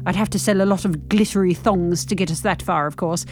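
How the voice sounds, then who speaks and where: A woman playing a grumpy, impatient, sardonic older woman, telling her story in the first person in a wry, expressive way. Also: fairly low pitch, brisk, faint music behind, natural voice.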